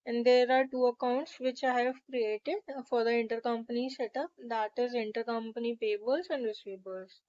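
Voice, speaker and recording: Female tutorial presenter, higher-pitched voice, methodical step-by-step delivery, slightly muffled voice-over sound. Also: fairly even intonation, normal speed, hardly any pauses, darkish tone. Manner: methodical, instructional. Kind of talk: step-by-step screen tutorial narration.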